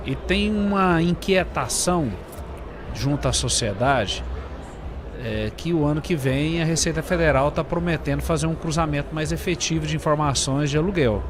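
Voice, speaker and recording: Slightly high-voiced Brazilian male interviewer, noisy background. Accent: Brazilian Portuguese. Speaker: podcast co-host. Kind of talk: conversational interviewing. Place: busy trade fair podcast booth. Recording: clearly noisy event floor with traffic-like ambience.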